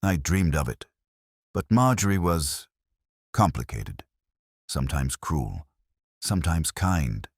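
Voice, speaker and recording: A man voicing an anxious, soft-spoken young understudy actress in an audiobook detective story, hesitant in manner. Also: rumbling low, expressive, slow, long pauses, husky, no echo, clean studio sound, full character voice. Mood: wistful, uneasy.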